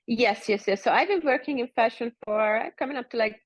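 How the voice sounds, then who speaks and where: A woman's voice: fairly high pitch, a little fast, dry recording, lo-fi sound. A woman in her thirties from Hungary, chatting as a podcast guest and rambling with lots of filler words. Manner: rambling, heavy with fillers like "like" and "you know". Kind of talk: casual interview chat.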